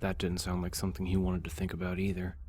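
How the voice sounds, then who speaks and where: Very deep, even-toned male audiobook narrator. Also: fast talker, a little hiss or hum. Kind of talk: scripted fiction narration, suspense horror.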